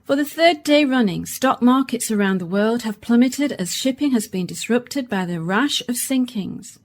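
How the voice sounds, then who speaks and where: An actress playing a sober radio newsreader with a British accent, reading a formal, measured bulletin in a radio drama. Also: female voice, fairly high pitch, animated, lightly acted.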